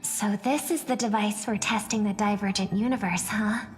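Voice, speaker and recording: An actress playing a gentle, gracious young female star, speaking politely and composedly as a game character, in a reverberant recording. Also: medium pitch, fairly even intonation, normal speed, soft background music, close to an everyday voice. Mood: friendly. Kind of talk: scripted game character dialogue.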